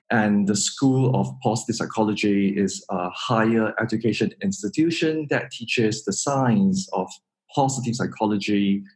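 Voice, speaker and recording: A man introduces his own podcast. His voice sits a little low, and he talks in an earnest, measured way, slowing down on the phrases he wants to stress. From Singapore. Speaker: podcast host.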